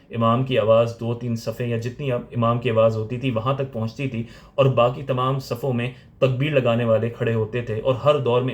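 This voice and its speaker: Male religious teacher, Pakistani accent, mid-range pitch, didactic step-by-step delivery. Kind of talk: explanatory religious lecture.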